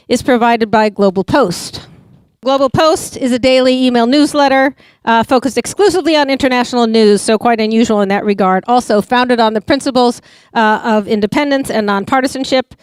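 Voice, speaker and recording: A woman hosts an evening talk, working through announcements with frequent pauses and fillers. Her voice is clear and crisp, somewhat high, and recorded dry with little room sound. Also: no echo. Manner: hesitant, with many uhs. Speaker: event host. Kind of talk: announcements and speaker introduction.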